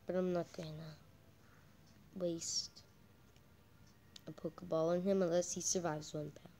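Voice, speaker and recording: A woman doing casual, halting game commentary as a channel host, her voice croaky from a cold. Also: on the low side. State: croaky with a cold, coughing and throat-clearing.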